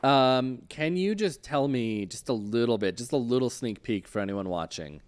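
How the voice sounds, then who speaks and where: A man hosting a casual online interview show about tabletop games, speaking in a halting, hesitant way. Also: mid-range voice, expressive, clean tone.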